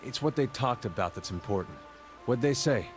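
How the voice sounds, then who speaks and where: A man's voice: medium pitch, lively intonation, fairly quick, music in the background, natural voice. A man voicing a blunt, insistent grieving brother. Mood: doubtful. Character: adult man pressing for news of his lost brother. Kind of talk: voiced game dialogue.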